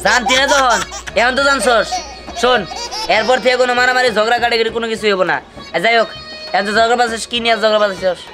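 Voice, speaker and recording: A man playing an exasperated husband, rapid and high-pitched. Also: fairly even intonation, music in the background. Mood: scolding. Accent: Bangladeshi colloquial. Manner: scolding, rapid, forceful. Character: exasperated young husband of two quarrelling wives. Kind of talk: comic cartoon dialogue.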